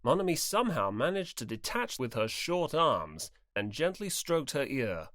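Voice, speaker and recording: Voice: mid-range voice, sing-song, moderate pace, fairly bright tone, dry recording, studio quality. An audiobook narrator reading scripted story narration in the third person.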